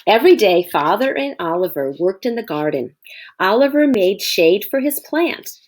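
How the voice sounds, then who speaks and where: A woman's voice: medium pitch, sing-song, moderate pace. A woman schoolteacher reading a children's storybook aloud, expressively, and addressing her young listeners with explanations. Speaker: elementary school teacher.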